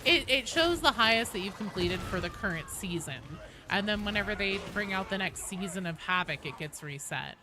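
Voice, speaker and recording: A woman's voice: medium pitch, lively intonation, normal speed, hardly any pauses, music in the background. A woman streaming a game, chatting casually and informally as she talks directly to her viewers. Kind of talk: casual livestream chat with viewers while gaming. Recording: clearly noisy background.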